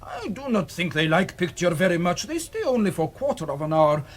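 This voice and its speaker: Obsequious, high-pitched Egyptian cinema manager. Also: male voice, sing-song, fairly quick. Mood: eager to please. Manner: pleading, servile, broken English. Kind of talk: radio drama acting.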